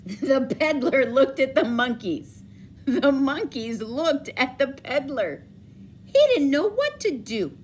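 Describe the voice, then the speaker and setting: A woman reads a children's story aloud with dramatic emphasis. Her voice is high and very animated, and she takes her time, drawing out words. There is faint noise behind her. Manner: dramatic emphasis, drawn-out words, questions to young listeners. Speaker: storybook reader for schoolchildren. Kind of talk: expressive children's read-aloud.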